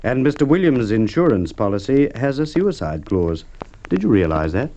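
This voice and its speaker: An actor playing a shrewd, polite police inspector in a Golden Age radio drama, formal, deliberate and insinuating. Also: male voice, mid-range voice, sing-song, warm tone. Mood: matter-of-fact. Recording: muffled, noisy old radio recording with clicks.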